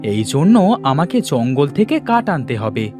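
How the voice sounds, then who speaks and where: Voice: mid-range voice, very animated, unhurried, over music. A performer voicing a kind, dutiful village boy in a cartoon, earnest and eager in manner. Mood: reassuring.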